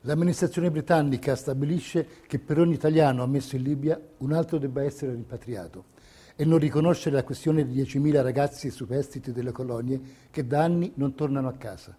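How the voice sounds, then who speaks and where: A man's voice: medium pitch, a little fast, mellow, slightly rough. A man reading scripted historical narration as a documentary theatre narrator. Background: music under the voice.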